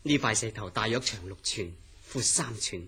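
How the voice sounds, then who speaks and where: An actor playing an earnest, mysterious scientist in a horror radio drama, telling his story in a serious, deliberate way. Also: on the low side, lively intonation, sharp tone, breathy edge, full character voice. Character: earnest, mysterious scientist recounting uncanny dreams. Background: background music.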